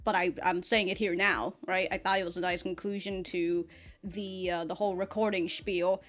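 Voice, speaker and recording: A woman giving casual, off-the-cuff reaction commentary as a review host, rambling, slangy and animated, with some swearing. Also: lowish voice, normal speed, faint music behind.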